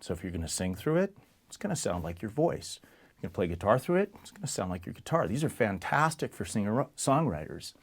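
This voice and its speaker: Male store presenter, lowish voice, conversational and explanatory, casual product walk-through. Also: very animated, brisk, breathy edge. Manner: plain-spoken, conversational, explanatory. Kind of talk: casual product comparison talk to camera.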